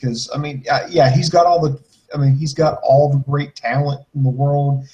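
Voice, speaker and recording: A man's voice: a little high, steady tone, normal speed, dark tone, muffled recording. Man co-hosting a casual wrestling podcast. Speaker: podcast co-host. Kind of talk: casual wrestling review chat, answering listener questions.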